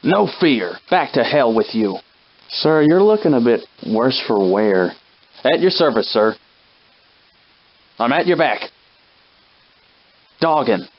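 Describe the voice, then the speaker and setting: Guy doing a folksy old timey soldier sidekick for a video game, mid pitched, plucky but rattled, kinda muffled lofi audio. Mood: tense, dutiful. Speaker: game character companion. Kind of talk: scripted video game character acting, old-time dialect.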